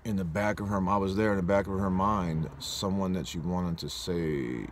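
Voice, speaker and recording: A man's voice: sits low, fairly even intonation, normal speed, a little hiss or hum. A man in his forties from Southern California, telling a personal story in a rambling, reflective way and often correcting himself. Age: 40s. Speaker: vlogger.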